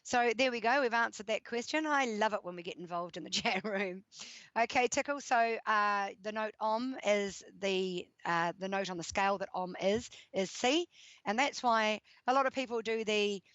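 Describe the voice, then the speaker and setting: A woman's voice: medium pitch, normal speed, no echo, lo-fi sound. Chatty woman hosting an online radio show. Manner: chatty, animated, laughs often, thinks aloud. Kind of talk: casual live radio chat reading listener comments. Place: at home.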